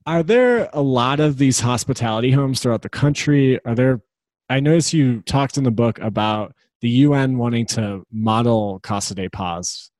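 A woman's voice: a bass voice, animated, moderate pace, darkish tone, dry recording. A woman hosting an interview, asking casual, chatty questions and laughing along. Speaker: podcast host.

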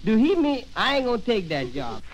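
A man's voice: high voice, huge pitch swings, a little fast. A man playing a muddled, earnest rustic in an old radio comedy routine, with repetitive, ungrammatical folksy talk on a noisy recording. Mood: reading, muddled. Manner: folksy ungrammatical speech, repeats himself. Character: earnest, muddled rustic comic fellow named Pat.

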